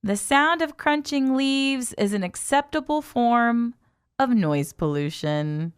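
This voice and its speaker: A woman presents live morning radio from a studio with a smooth, crisp voice that sits fairly high. Her delivery is chatty and giggly, moving casually between station patter and small talk. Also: animated, slow pace. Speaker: radio host, music station DJ.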